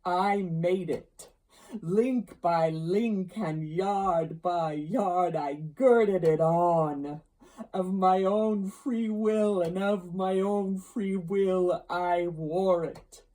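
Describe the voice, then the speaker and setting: A grave, tormented storybook ghost, acted out by a woman, bound in chains and full of sorrow. The words come out very slowly in long, loud, declamatory wails, with the pitch lifted above a soft-toned base. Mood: grave, doleful. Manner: slow, declamatory, drawn-out wailing. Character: anguished male ghost in chains, mournful and grave.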